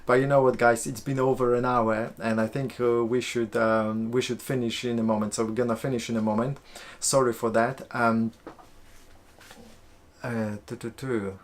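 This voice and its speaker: Polish guy hosting a live q&a, mid pitch, loose and chatty, lots of uhs. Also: little pitch movement, normal speed. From Poland. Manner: casual, chatty, frequent fillers and restarts. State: sweating, warm room. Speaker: host. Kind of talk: live stream Q&A.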